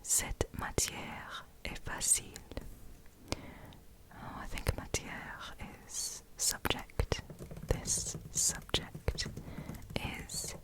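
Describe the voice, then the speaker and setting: Young woman, soft whisper throughout, slow and unhurried, gentle self-amused asides. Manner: soft, unhurried whisper, musing aloud and laughing at her own mistakes. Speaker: ASMR creator. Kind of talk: whispered ASMR while doing language practice.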